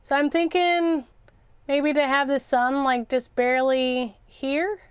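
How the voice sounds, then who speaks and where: Woman, high voice, slow pace, casual craft livestream host, chatty, thinking out loud. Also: fairly even intonation, no room sound. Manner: chatty, self-narrating, repeats words. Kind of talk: casual live craft chat, thinking aloud.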